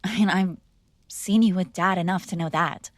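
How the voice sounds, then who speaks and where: A woman's voice: on the low side, moderate pace, no room sound, studio quality, close to an everyday voice. A woman playing a mob boss's spoiled, bratty young adult daughter in an intimate roleplay, speaking casually with sarcastic, exasperated argument. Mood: wry, challenging. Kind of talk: intimate ASMR roleplay acting, one-sided conversation.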